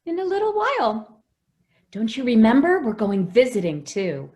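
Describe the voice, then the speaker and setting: A woman playing a gentle, anxious housekeeper who protects a child, hesitant and soothing, in old-time radio drama style. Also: a little high, huge pitch swings, normal speed. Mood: wistful, tender.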